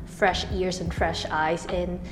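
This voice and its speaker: A woman from Canada with a Canadian accent, co-hosting a community radio show in lively casual chat full of fillers. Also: fairly low pitch, slow pace, reverberant, in a large hall, music in the background. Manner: chatty, animated, many fillers. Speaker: radio co-host.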